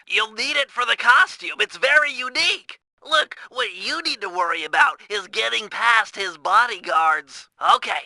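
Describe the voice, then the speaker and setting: Brief: a nerdy young turtle inventor for a game, high, bright and gravelly-edged, fussy and precise. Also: male voice, no room sound, exaggerated voice, rough recording. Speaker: game character voice. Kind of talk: video game voice lines.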